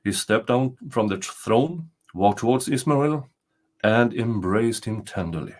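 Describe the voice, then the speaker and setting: An older man narrating a storybook tale aloud in a deliberate, dramatic way. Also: lowish voice, animated, a little mellow. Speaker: storyteller reading folktales.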